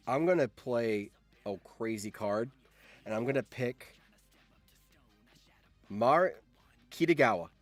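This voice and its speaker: A man with a mid-pitched voice hosts a casual livestream. He thinks aloud and repeats himself as he addresses his viewers. The sound is close and dry, with music playing behind him. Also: no room sound. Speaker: livestream host.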